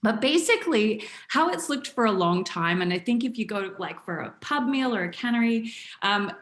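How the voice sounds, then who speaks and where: A woman's voice: on the high side, lively intonation, moderate pace, a bit bright. A woman in her thirties from Canada, presenting on nutrition in a chatty, casual way over a video call from home, keeping her voice down, with lots of fillers. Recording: echoey room on a video call.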